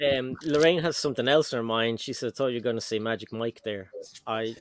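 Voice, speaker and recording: A man's voice: mid-range voice, quick pace. Middle-aged man hosting a Lego stream. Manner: relaxed, joking, talks to his son on camera. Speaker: host of a Lego livestream. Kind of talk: casual livestream chat, reading viewer comments. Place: home room full of Lego shelves.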